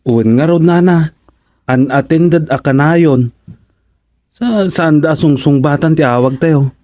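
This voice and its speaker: A man's voice: fairly high pitch, slow pace, mellow, dry recording. A man acting an everyday village father in a conversational radio drama. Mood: concerned.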